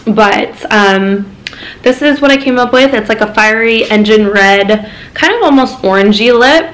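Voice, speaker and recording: A woman's voice: fairly high pitch, unhurried, a bit bright, poor audio. A young woman chatting casually to camera as a beauty vlogger, her voice a little off from being sick, with lots of ums and likes. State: sick, voice a bit off.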